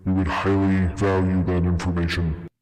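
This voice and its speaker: A man voicing a formal, calculating artificial intelligence of a space station in an audio drama, speaking in a measured way. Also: sits low, little pitch movement, a little mellow, natural voice. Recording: long hall reverb, music behind. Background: music.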